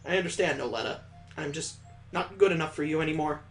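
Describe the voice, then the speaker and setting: A man's voice: fairly high pitch, quick pace, a bit bright, soft background music. A man voicing a teasing student who acts theatrically wounded and self-pitying. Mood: mock hurt.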